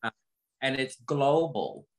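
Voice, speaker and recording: A woman in her fifties talking candidly as a guest, earnest and halting, often repeating phrases for emphasis. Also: a bass voice, rough recording. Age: 50s. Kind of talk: candid conversation, motivational talk.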